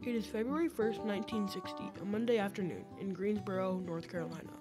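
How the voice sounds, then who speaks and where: A woman's voice: medium pitch, quick pace, loud music behind the voice. A woman reading scripted narration for an amateur radio drama.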